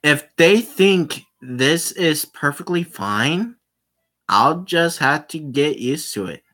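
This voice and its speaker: Slow, bright-voiced joking gamer man. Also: on the high side, lively intonation. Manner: joking asides, swearing. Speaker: gamer reading a visual novel aloud. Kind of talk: casual let's-play narration.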